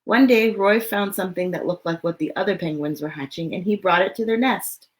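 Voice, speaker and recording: A woman reading a picture book aloud to children in an expressive storytelling way, with casual, chatty asides. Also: medium pitch, a little fast, poor audio.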